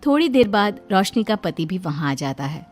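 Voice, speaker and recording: A woman's voice: medium pitch, sing-song, moderate pace, faint music behind. A woman narrating a scripted cartoon story as the storyteller.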